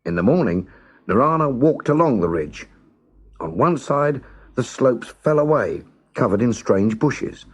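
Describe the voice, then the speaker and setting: A man narrating a scripted children's story as a storyteller, expressive, measured and dramatic. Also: medium pitch, husky.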